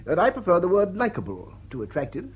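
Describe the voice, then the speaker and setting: Dull-sounding period drama: stuffy English lord, highish husky voice, prim upper-class delivery. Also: male voice, wildly swooping pitch, fast talker, full character voice. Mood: stiff, offended. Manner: formal, hesitant, prim. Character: pompous, stiffly old-fashioned English lord. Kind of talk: period drawing-room radio drama acting. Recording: dull, muffled audio.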